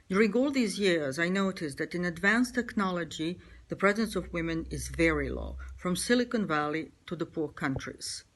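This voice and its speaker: Low-voiced woman, measured. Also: animated, fairly bright tone. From Serbia. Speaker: feminist author and performer. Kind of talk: prepared personal statement.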